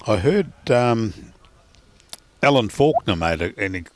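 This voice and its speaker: A man with an Australian accent doing relaxed, chatty live commentary on a bowls match, full of fillers and laughter. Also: on the low side, takes it slowly, slight background noise. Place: outdoors at a bowls green.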